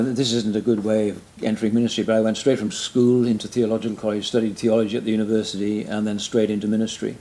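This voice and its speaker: An older man in his sixties from Wales with a Welsh accent, a retired pastor recalling his ministry in an interview. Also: on the low side. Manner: frequent backchannel mm and yeah, soft self-deprecating laughs. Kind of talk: reflective interview conversation.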